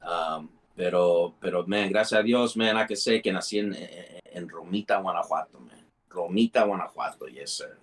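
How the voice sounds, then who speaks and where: A man's voice: low voice, normal speed, slightly rough. A man, telling his story as a podcast guest in laid-back street slang, dropping Spanish phrases and calling everyone bro. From Mexico. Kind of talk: casual podcast chat.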